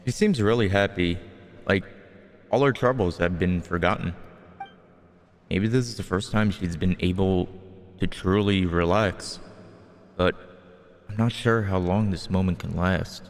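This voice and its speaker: A male game streamer with a fairly low voice. He reads on-screen dialogue aloud and drops in casual reactions, with a long reverb on the recording. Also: a little slow, pauses often.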